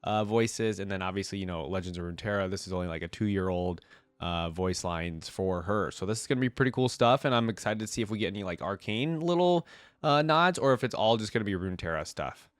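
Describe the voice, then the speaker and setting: Low congested man reacting casually. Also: fairly quick, dry recording, clean studio sound. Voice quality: congested, nasal from a cold. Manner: informal, thinking aloud with asides. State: has a cold. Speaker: reaction video host. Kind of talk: casual reaction commentary, reading aloud and commenting.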